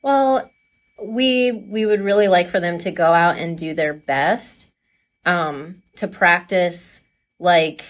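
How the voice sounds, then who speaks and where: A woman talking casually and enthusiastically as a coach being interviewed, chatty and quick to laugh. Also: lowish voice.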